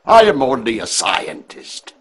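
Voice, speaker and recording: An actor playing an eccentric elderly scientist in a game, with a German accent and a halting, excitable, professorial manner. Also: male voice, mid-range voice, breathy edge, full character voice. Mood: earnest. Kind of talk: video game cutscene acting.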